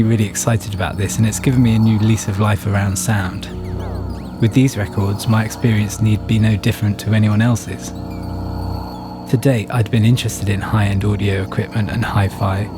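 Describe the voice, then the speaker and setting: Low-pitched man narrating over music. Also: steady tone, a little fast. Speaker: narrator telling own story. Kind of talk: scripted first-person narration. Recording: music playing behind the voice.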